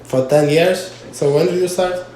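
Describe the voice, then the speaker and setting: A man's voice: on the high side, steady tone, mellow, a little hiss or hum. Hesitant man interviewing. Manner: halting, many fillers, apologetic. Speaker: interviewer and channel host. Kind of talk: casual interview in non-native English. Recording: echoey room with faint ambience.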